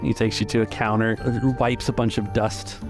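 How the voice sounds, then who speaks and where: A man's voice: on the low side, level tone, moderate pace, over music. A man acting as game master, casually narrating and refereeing a tabletop roleplaying game.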